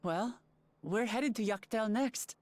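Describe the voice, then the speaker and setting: An actress playing an earnest, modest young adventurer in a fantasy game, talking in an eager, plain-spoken way. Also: female voice, on the high side, slow pace, darkish tone, no echo. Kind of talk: scripted fantasy game dialogue.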